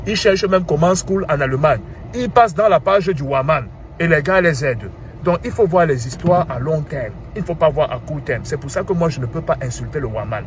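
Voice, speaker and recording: Man, thirties, Cameroonian French accent, animated, with street traffic heard clearly behind. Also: on the high side. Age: 30s. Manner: animated, asks rhetorical questions, repeats "hein". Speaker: commentator. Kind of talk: casual monologue.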